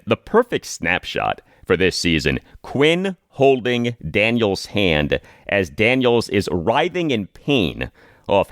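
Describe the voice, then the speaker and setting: Male sports podcast host, lowish register, very animated intonation, emphatic phrasing for commentary and read-aloud segments. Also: no room sound, studio quality. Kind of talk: solo sports commentary, reading listener emails and sponsor spots aloud.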